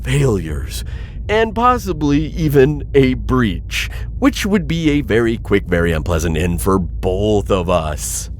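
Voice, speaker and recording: A man's voice: medium pitch, big pitch swings, takes it slowly, a little hiss or hum. A man playing an anxious, pleading insectoid alien bounty hunter in a sci-fi audio roleplay, flustered, hesitant and formally wordy. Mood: grave, anxious. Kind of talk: scripted audio roleplay addressed to listener.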